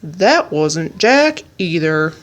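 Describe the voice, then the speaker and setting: A woman voicing a polite, bashful male giant in a storybook, speaking slowly and earnestly. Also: low voice, big pitch swings, pitched below the performer's own voice, heavily acted. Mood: glum.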